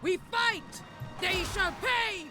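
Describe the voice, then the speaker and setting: An actor voicing a vengeful, menacing fantasy warrior in short, drawn-out video game battle lines. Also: male voice, very high voice, over music.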